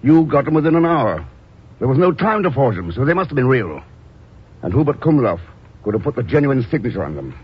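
An actor playing a calm, dryly sardonic espionage hero with a husky voice in a 1950s radio drama. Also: male voice, medium pitch, a little fast. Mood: cool, assured. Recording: muffled old radio recording.

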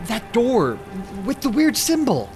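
An actor playing a curious, cautious visitor in a radio drama, polite and a little hesitant. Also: male voice, extremely high pitch, wildly swooping pitch, measured pace, music in the background, full character voice. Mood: curious, uneasy.

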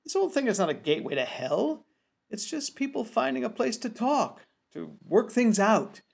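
A small-town landowner in a radio drama, a man with a high voice. He reasons plainly and gently, placating while staying stubbornly firm. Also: big pitch swings, normal speed, creaky, husky, exaggerated voice. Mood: firm, pleading. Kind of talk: radio play acting.

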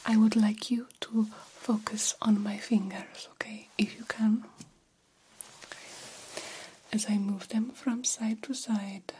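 A woman's voice: medium pitch, level tone, darkish tone, a little hiss or hum, close to an everyday voice. A woman voicing an attentive, courtly vampire doctor in a whispered ASMR roleplay, soothing and formal in her phrasing. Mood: clinical, soothing. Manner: slow, soothing, formal old-fashioned phrasing, reassuring.

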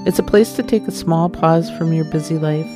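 A woman's voice: sits low, moderate pace, over music. A middle-aged woman from Minnesota with a light Minnesota accent, hosting a podcast and reading a wry, homey personal story. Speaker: podcast host. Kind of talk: scripted storytelling narration.

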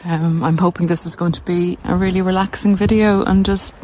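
A woman with an Irish accent giving a casual, explanatory monologue in a measured, halting way while out on a walk. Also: on the low side, fairly even intonation, mellow. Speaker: podcast host and narcissism recovery coach. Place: outdoors on a coastal cliff walk. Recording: noisy outdoor handheld recording.

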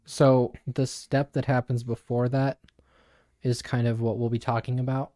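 A young man co-hosting a podcast, explaining ideas in a thoughtful, measured, conversational way. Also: medium pitch, level tone, mellow, dry recording, clean studio sound. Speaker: podcast co-host. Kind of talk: casual explanatory discussion.

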